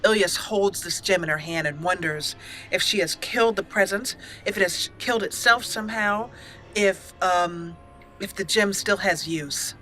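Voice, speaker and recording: A woman hosting a let's play, telling the game's events as a roleplay story in a measured way. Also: medium pitch, pushed and bright. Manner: measured storytelling, with an occasional chuckle. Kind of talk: roleplay narration of a video game.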